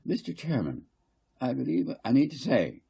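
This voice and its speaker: A man reading a story, voicing a flustered, argumentative town councillor who blusters haltingly and repeats himself. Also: medium pitch, huge pitch swings, vocal fry, warm tone, slightly rough, pitched above the performer's own voice, full character voice. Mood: flustered, insistent.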